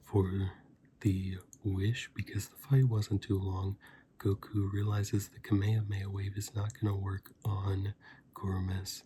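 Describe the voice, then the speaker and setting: A man hosting a casual ASMR review, speaking softly and breathily in an unhurried, rambling way, often dropping into a whisper. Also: fairly low pitch, level tone, a little hiss or hum. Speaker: host of an ASMR review channel. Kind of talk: soft-spoken ASMR film review.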